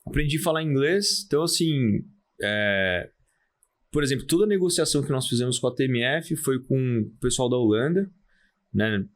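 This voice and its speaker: Calm Brazilian man, halting speech. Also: mid-range voice. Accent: Brazilian Portuguese. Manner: calm, halting, many restarts and "né?" tags. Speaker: podcast guest, entrepreneur. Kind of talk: casual interview talk.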